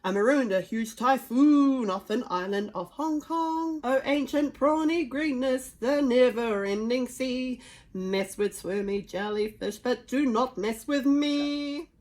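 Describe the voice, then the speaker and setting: A woman voicing a huge, boastful Viking father in a storybook, booming and emphatic. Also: slow, darkish tone.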